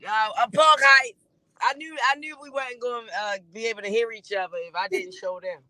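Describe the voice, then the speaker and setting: A woman's voice: a little high, fairly even intonation, a little fast, sharp tone, no echo, poor audio. A woman in her forties, a comedian, chatting casually and playfully on a video call. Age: 40s. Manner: laid-back, playful, trailing off. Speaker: comedian guest. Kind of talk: casual chat.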